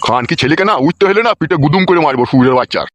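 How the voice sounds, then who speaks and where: A man's voice: fairly high pitch, expressive, rapid-fire, no room sound, highs cut off. A man voicing a foul-mouthed, irritable, sleepy father who scolds his son in a comic cartoon dub. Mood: angry grumbling. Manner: abusive, cursing, irritable.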